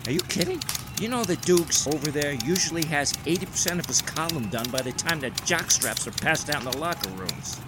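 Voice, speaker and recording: An actor playing a sarcastic, irreverent younger 1920s sportswriter trading banter in a radio drama. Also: male voice, fairly high pitch, expressive, brisk, very noisy, lightly acted. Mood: incredulous. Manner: sarcastic, mocking.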